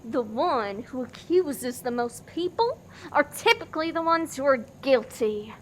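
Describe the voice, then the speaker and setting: A very high-voiced, wildly swooping doomsayer played by a woman in a farce radio play. She is melodramatic and morbid, sunk in bleak despair. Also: very animated, a little slow, a little hiss or hum, poor audio. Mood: grim. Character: despairing, doom-laden young woman named Joy, bleakly nihilistic. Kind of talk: stage-style farce radio play acting.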